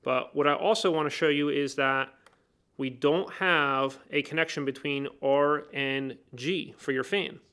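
A man with a somewhat raised, smooth and clear voice teaches new technicians at a workbench. He explains each step in plain words and links the steps with "so" and "now.". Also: a little high, steady tone, a little slow, a bit bright. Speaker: HVAC trainer. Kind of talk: hands-on technical demonstration. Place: indoor workbench.

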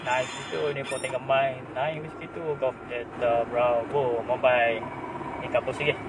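A Malaysian man chats casually on amateur radio from inside a car. His voice is average in pitch and picked up from some distance. Heavy vehicle noise surrounds his call signs and informal talk. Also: little pitch movement, normal speed. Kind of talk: casual two-way radio chat. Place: inside a car on city roads. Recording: distant in-car audio, loud road noise.

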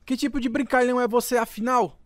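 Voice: mid-range voice, steady tone, quick pace, dry recording, clean studio sound, lightly acted. A performer playing an indignant, bossy young boy, the eldest son in a radio drama. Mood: bossy, swaggering. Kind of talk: radio drama dub acting.

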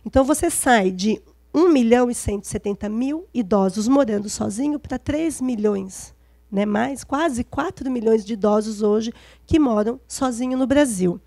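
A middle-aged Brazilian woman speaks in a soft, mellow tone that sits fairly high, with a slight hoarseness. She lectures informally, often checking in with short tags. Her handheld microphone gives a close, dry sound. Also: normal speed, dry recording. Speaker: lecturer, gerontology specialist. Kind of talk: public lecture, conversational. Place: event stage before seated audience, indoors.

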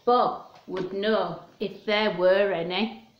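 A woman's voice: mid-range voice, steady tone, slow pace, dull audio, slight background noise. A woman in her fifties hosting story time for young children, talking simply and in a sing-song way with questions. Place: small room at home. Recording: roomy, echoey home recording.

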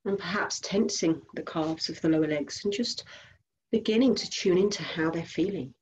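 A woman leading a guided body scan meditation as a teacher, giving gentle instructions in short phrases with unhurried pauses. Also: on the low side, low-quality recording.

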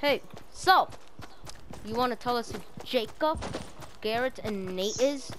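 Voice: medium pitch, animated, measured pace, fairly bright tone, a little hiss or hum. A female player improvising a roleplay over game voice chat, confrontational and commanding in manner.

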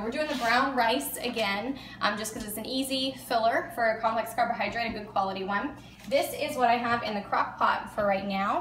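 A woman's voice: fairly high pitch, a bit bright. A woman in her thirties hosting a casual cooking vlog in her home kitchen, chatty and upbeat, with frequent ums as she shows her food. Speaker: vlog host. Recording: echoey kitchen, faint hum.

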